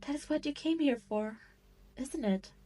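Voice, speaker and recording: A woman's voice: high-pitched, moderate pace, warm tone, close to an everyday voice. A woman playing a cruel, seductive demon queen in an audio roleplay, taunting and condescending as she toys with her prey. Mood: amused, taunting. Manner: taunting, haughty, condescending, teasing. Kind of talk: scripted roleplay audio drama monologue.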